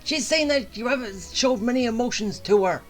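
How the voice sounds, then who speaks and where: A woman casually reacting out loud as she plays a video game. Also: fairly high pitch, a little fast, faint music behind. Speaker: gaming streamer. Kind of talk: casual reaction commentary over gameplay.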